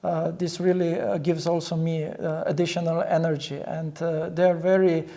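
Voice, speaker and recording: Gravelly, even-toned male pastor. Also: a little high, moderate pace. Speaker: pastor, interview guest. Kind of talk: earnest interview answers.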